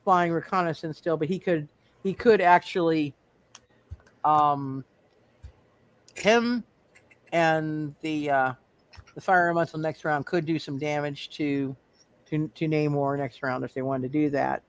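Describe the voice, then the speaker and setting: Game master for a live tabletop session: casual, halting delivery with repeated phrases, very little room sound. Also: medium pitch, clear, resonant tone, no room sound. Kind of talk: casual tabletop roleplay narration.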